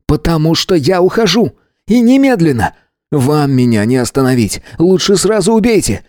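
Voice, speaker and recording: A man reading aloud, voicing a frightened, near-hysterical male Earthman who talks shrill and pleading. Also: very animated, moderate pace, a little mellow, no room sound, clean studio sound. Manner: shrill, pleading, rising to squeals.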